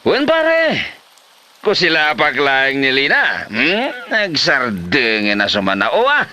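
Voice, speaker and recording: A man's voice: mid-range voice, huge pitch swings, slow, bright, forceful tone, full character voice. A man playing a lazy, boastful, hard-drinking husband in a comedy drama, dismissive and cheerfully defiant. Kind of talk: Ilocano comedy drama acting.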